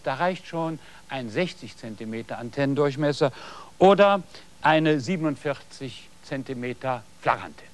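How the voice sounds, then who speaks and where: Didactic middle-aged male TV host. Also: medium pitch. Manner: didactic, addresses viewers directly. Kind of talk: scripted studio presentation. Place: studio set dressed as a garden patio. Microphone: lapel mic.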